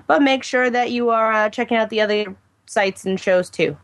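A woman with a fairly high voice co-hosts a casual podcast. She reads out show details in a brisk, matter-of-fact way. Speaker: podcast co-host. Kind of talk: casual podcast chat, reading show info.

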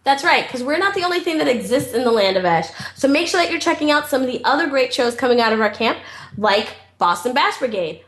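A woman co-hosting a podcast, chatting casually and excitedly, swearing freely. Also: high voice, fast. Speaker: podcast co-host.

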